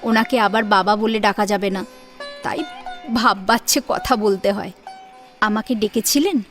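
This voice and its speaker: A woman narrating a scripted audio story in an expressive, dramatic, rhetorical manner. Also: medium pitch, moderate pace. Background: music.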